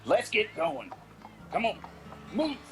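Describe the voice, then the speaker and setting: A man's voice: high voice, big pitch swings, slow, heavy background noise, exaggerated voice. An actor playing a firm, businesslike Appalachian lawman in a radio drama. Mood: brisk.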